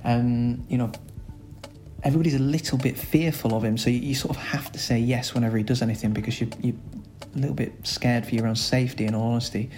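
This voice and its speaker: A man with a Lancashire accent, a school's director of sport, answering podcast interview questions in a chatty, rambling way with many filler pauses. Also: mid-range voice, steady tone, a little mellow, slight background noise. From Lancashire, England.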